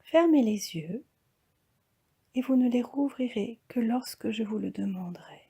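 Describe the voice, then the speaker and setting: Slow, breathy woman guiding relaxation. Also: on the high side. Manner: calm, soft, breathy, often near-whispered delivery. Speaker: yoga nidra instructor. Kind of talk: guided relaxation meditation.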